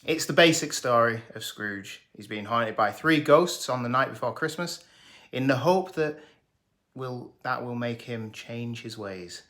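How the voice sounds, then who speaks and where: A man in his thirties talks through a film review with a bright, forceful voice. He jokes, teases and gets openly enthusiastic, and the delivery sounds scripted. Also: mid-range voice, a little slow. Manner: jokey, sarcastic, enthusiastic. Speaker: movie reviewer.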